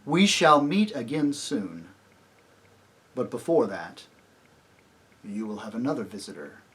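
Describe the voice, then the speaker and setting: A man voicing a great lion, a grave, commanding yet tender divine king in a storybook reading, speaking deliberately. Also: medium pitch, very animated. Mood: gentle. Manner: slow, grave, deliberate, authoritative.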